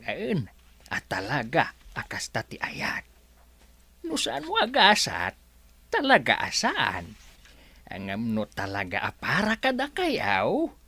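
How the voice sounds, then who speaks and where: Woman doing comic ilocano servant in a radio play, deep voice, swoops all over, blunt and exclamatory. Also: a bit bright, no echo, heavily acted. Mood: earnest advising. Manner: exclamatory, drawn-out swooping delivery. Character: blunt, comic servant counselling the lovelorn boss. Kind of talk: Ilocano comedy radio drama acting.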